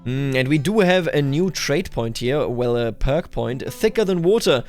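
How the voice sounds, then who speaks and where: A man hosting a strategy game roleplay, commenting deliberately and musing aloud as he plays. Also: mid-range voice, expressive, darkish tone. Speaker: gaming video host. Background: background music.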